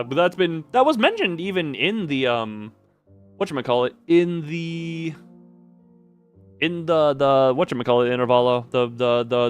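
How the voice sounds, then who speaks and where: A man streaming a game, giving casual, rambling live commentary with animated reactions. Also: fairly high pitch, clean tone.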